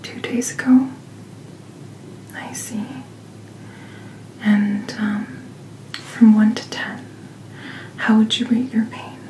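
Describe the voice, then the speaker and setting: Female ASMR doctor: hushed, breathy whisper, very slow and soothing delivery, roomy recording. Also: medium pitch, level tone. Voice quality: soft, breathy, mostly whispered. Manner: gentle, unhurried, reassuring bedside manner. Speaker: ASMR performer playing a doctor. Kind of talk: soft-spoken ASMR medical roleplay. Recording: roomy sound, clear background noise.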